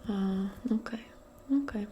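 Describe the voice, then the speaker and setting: A woman playing a casual, gently awkward modern witch in a soft-spoken ASMR roleplay, speaking hesitantly in a breathy, often whispered voice. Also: medium pitch, measured pace, muffled recording, a little hiss or hum, lightly acted. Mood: friendly, hesitant. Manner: hesitant, murmuring, conversational. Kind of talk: ASMR personal-attention roleplay.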